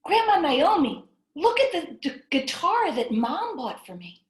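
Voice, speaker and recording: A young big brother from a children's storybook, eager and teasing, played by a woman reading aloud. The voice is high, with noticeable room echo. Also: sing-song, a little slow. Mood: excited.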